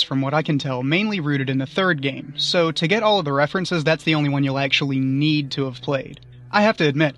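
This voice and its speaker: A man's voice: a little high, fairly bright tone. A man giving scripted review commentary as a guest.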